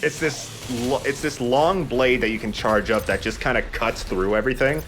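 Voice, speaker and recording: A man's voice: medium pitch, normal speed, a bit bright, soft background music. A man giving informal, explanatory and jokey commentary while playing a shooter game. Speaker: let's player giving commentary. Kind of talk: casual gameplay commentary over a shooter.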